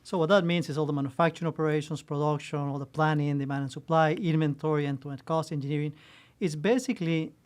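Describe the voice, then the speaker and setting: A man's voice: a little high, fairly even intonation, fast. A man from Costa Rica in his fifties, an executive answering interview questions in an earnest, loose way with restarts. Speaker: supply chain executive.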